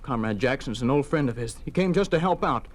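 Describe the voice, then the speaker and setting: A man's voice: mid-range voice, animated, brisk, natural voice. A man playing an anxious, earnest, loyal son-in-law in a 1950s radio drama, speaking with urgency. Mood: indignant pleading. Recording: faintly noisy old radio recording.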